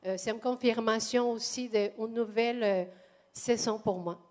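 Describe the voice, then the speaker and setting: A woman from Mexico, speaking with a Mexican Spanish accent in her second language, giving a halting personal testimony in church. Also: on the high side, fairly even intonation, darkish tone. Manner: hesitant, halting, many pauses.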